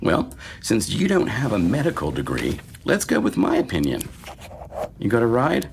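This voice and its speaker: A man playing a sardonic doctor in his fifties, deadpan and wry, in a screenplay reading. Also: normal speed. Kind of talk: screenplay table read. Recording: faint low rumbling noise.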